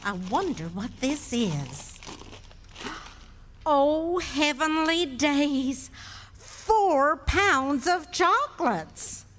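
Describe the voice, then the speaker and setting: A fond, teasing wife who takes her time over each line, played by a woman. Her pitch swings widely, and a long hall reverb trails every word. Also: sing-song, a bit bright, a little hiss or hum, exaggerated voice. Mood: delighted. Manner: fond, teasing, drawn-out delivery. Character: patient teasing middle-aged wife. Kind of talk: 1940s radio acting. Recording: live stage in a hall.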